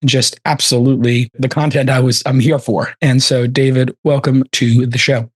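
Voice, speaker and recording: A man with a raspy voice hosts a podcast in a relaxed, chatty way. His voice sits at a middle pitch and is recorded close and dry, with no room sound. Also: normal speed, no echo. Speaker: podcast host. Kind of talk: casual podcast interviewing.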